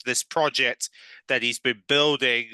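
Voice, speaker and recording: Bright, forceful male fan news host. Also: medium pitch, steady tone, measured pace, clear, resonant tone, no room sound. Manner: rambling, repeats and restarts phrases. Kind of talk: casual fan news commentary.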